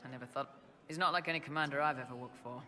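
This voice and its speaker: A blunt mercenary soldier. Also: male voice, mid-range voice, steady tone, rapid-fire, bright, forceful tone, a little hiss or hum. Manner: blunt, plainspoken soldier talk. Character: blunt, loyal male mercenary lieutenant. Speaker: mercenary soldier in a fantasy game. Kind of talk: video game cutscene dialogue.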